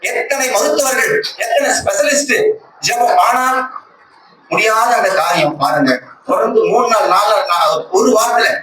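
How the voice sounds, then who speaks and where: A man's voice: high-pitched, normal speed. A man with a Tamil Nadu accent gives an earnest, emphatic religious testimony to a congregation, repeating phrases to stress them. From Tamil Nadu, India. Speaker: church member giving a testimony, lay speaker. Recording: echoey, distant conference call with loud noise.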